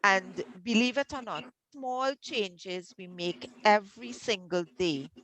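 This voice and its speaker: A woman's voice: slow, rough recording. A Trinidadian woman hosting an online health workshop over a video call, encouraging and persuasive, with frequent ums. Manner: encouraging, warm sales pitch with many ums. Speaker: workshop host, health coach.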